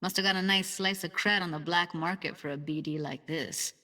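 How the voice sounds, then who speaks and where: A woman's voice: fairly low pitch, fairly even intonation, a little fast, pushed and bright. An actress voicing a streetwise young techie woman in a cyberpunk video game, talking in a casual, slangy, wry way. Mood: focused, explaining. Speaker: video game character actor. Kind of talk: naturalistic game voice acting.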